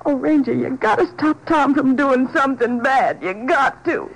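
A woman's voice: sits high, animated, normal speed, low-quality recording. A woman playing a distressed, pleading working-class wife with folksy, tearful speech in a 1950s radio drama. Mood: tearful, worried. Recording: old 1950s radio recording.

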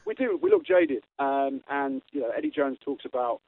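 A man's voice: mid-range voice, huge pitch swings, moderate pace, vocal fry, no echo. A man, a retired rugby player giving blunt, opinionated views as a radio guest. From England. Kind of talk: radio interview, call-in. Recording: tinny phone line.

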